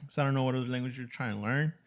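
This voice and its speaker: A man whose first language is Spanish, hosting a podcast in a laid-back, casual way. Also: medium pitch. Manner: laid-back, drawn-out "dude" and "you know" fillers. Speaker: podcast host. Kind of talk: casual chat.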